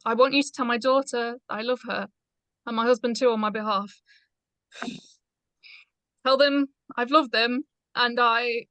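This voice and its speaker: A woman's voice: a little high, steady tone, measured pace, pauses often, a bit bright, dry recording. A woman playing an earnest, protective female passenger who pleads and insists, in a script read. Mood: pleading. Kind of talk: screenplay table read acting.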